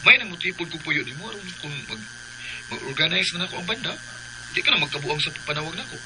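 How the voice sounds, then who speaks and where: An actor playing a pushy, cheeky young suitor with a Filipino accent in a radio drama. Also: male voice, a little high, expressive, fast talker, noisy background, lightly acted. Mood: cheeky, coaxing.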